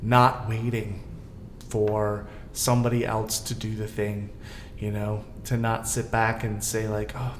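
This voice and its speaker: A man's voice: lowish voice, little pitch movement, a little slow. A man, probably middle-aged, chatting casually on a panel and thinking aloud in a discursive way. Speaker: guest co-host on a theater podcast. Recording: roomy echo, faint background noise.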